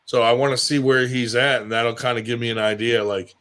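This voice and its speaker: A man's voice: mid-range voice, fairly even intonation, fairly quick, a bit bright, slight background noise. A middle-aged man in a casual, rambling chat, swearing freely as he talks through his technique. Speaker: arm wrestler on a livestream show.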